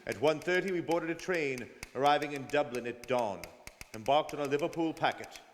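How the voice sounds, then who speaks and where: A man's voice: a little high, brisk, close to an everyday voice. A man playing a stern, dogged detective who narrates a radio drama in an emphatic, clipped style. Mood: grave, official. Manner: emphatic, telegraphic. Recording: hall reverb, faint noise, community studio.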